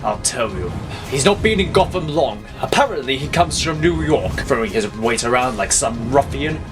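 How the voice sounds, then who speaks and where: A man's voice: medium pitch, expressive, moderate pace, over music, natural voice. A man playing a haughty, genteel crime boss and club owner with a pompous, wordy manner. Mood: smug, indignant.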